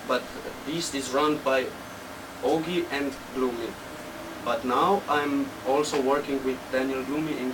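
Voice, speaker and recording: Recorded at a distance under loud background noise, a young man from Slovenia speaks English with a Slovenian accent. He gives casual interview answers, choosing simple words and halting as he goes. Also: medium pitch. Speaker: interview guest, techno DJ and producer.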